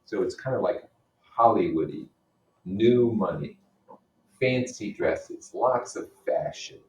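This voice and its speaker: A man's voice: fairly low pitch, expressive, takes it slowly, a little mellow, slight background noise. A man reading a novel aloud as a teacher and explaining it as he goes, often putting questions to his listeners. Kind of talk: read-aloud with explanatory comments. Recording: roomy echo, distant microphone.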